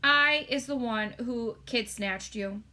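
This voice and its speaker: A woman's voice: on the high side, slow pace, sharp tone. A woman voicing a huge, kindly, tearful male storybook giant with childlike, mangled folksy grammar and tender exclamations. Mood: upset, sorrowful.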